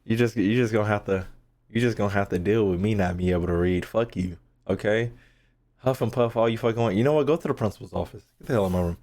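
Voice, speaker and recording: A man's voice: lowish voice, fast talker, darkish tone. A young man giving casual livestream game commentary, slangy and profane, with playful rants. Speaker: game streamer.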